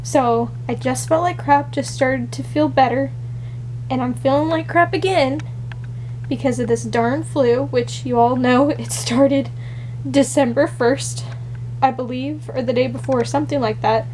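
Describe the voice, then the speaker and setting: A woman's voice: high-pitched, unhurried, darkish tone, a little hiss or hum. Unwell young woman rambling to camera. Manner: rambling, many fillers and restarts. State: unwell, shaky and nauseous. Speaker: vlogger. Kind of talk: casual personal vlog. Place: small bedroom, indoors. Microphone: camera close up, built-in mic.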